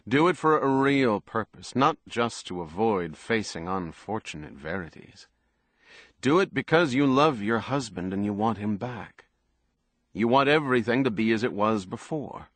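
A man voicing a world-weary, cautious man who is blunt yet protective, in measured, quietly firm audiobook dialogue. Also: on the low side, lively intonation, dry recording, clean studio sound. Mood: soothing, instructing.

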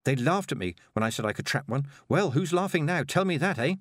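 A man's voice: on the high side, animated, brisk, a bit bright, no echo, studio quality, pitched above the performer's own voice. A man voicing a boastful, gloating, defiant man possessing a girl in a storybook.

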